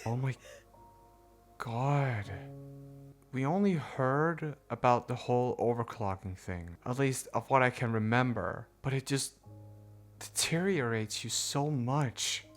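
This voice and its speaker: A man doing live gameplay reaction commentary, expressive and exclamatory. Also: mid-range voice, takes it slowly, soft background music. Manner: expressive, exclamatory, reads game text aloud. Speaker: gaming streamer.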